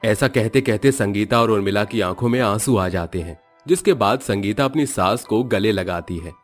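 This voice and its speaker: A man narrating an animated moral story in a steady, matter-of-fact way. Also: fairly low pitch, normal speed, faint music behind.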